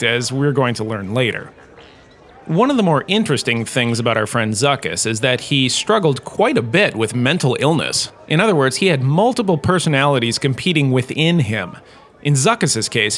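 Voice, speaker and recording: A man's voice: mid-range voice, fairly bright tone, close to an everyday voice. Man playing a vain, pompous male professor. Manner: pompous, wry, chatty. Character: vain, self-important male professor and holodrama star. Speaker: lecturer. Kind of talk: comic in-character lecture.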